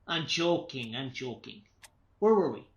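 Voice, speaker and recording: An actor in a radio play playing a tense, unravelling writer and father, agitated, irritable and repeating himself haltingly. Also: mid-range voice, lively intonation, muffled recording, close to an everyday voice. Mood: uneasy, defensive.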